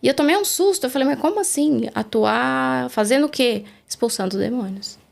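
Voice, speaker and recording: A woman from Brazil gives a testimony in an earnest, reflective way. Her voice sits a little high and has a gravelly fry. She was recorded in a studio. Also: expressive, fairly quick. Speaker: guest. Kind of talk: testimony in a faith interview.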